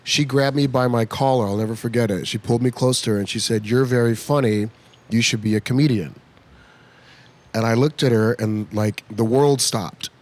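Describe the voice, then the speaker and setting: A man in his fifties from California, a guest comedian chatting in a bar at a comedy club, telling animated stories and acting out quoted voices. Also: medium pitch, moderate pace. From United States (California). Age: 50s. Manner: animated storyteller who acts out quoted voices. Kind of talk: casual interview chat.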